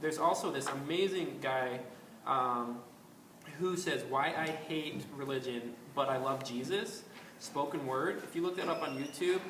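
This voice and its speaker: Male host, casual rambling delivery, mid pitch, roomy echo, faint background hiss. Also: a little slow, a bit bright. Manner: rambling, many ums and restarts. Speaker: astrology video host. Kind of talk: casual spoken commentary. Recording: echoey room with faint ambient noise.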